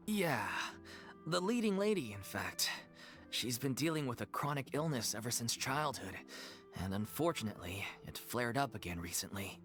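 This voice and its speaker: A man's voice: medium pitch, big pitch swings, normal speed, fairly bright tone. An actor playing an earnest, apologetic male leader of an amateur theater troupe in a game cutscene. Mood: worried. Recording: game audio with faint music.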